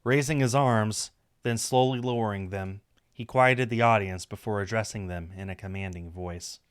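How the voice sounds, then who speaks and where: A man's voice: on the low side, normal speed, clear, resonant tone. A man reading a visual novel aloud on a stream, narrating the story with casual asides.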